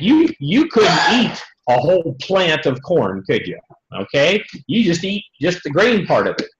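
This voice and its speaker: A man's voice: on the high side, fairly bright tone. An American man in his sixties with a folksy manner, explaining things simply to schoolchildren as a dairy farmer and often checking in with his listeners. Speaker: dairy farmer and tour guest. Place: trophy-lined office, indoors. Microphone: computer mic on a video call. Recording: muffled, echoey video call audio.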